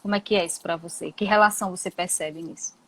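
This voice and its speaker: A woman with a Brazilian Portuguese accent interviewing casually, phrasing her questions informally and haltingly. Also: lowish voice, fairly even intonation, fairly bright tone. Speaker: interviewer from a book club. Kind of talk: casual live-stream interviewing.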